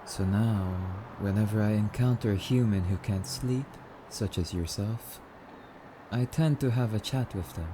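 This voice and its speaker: A man's voice: fairly low pitch, a little slow, background noise, natural voice. A man playing a courtly, wistful male vampire in an ASMR roleplay, speaking in a musing, slightly archaic, haughty yet polite manner. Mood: reflective, intrigued. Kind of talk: ASMR roleplay monologue addressed to listener.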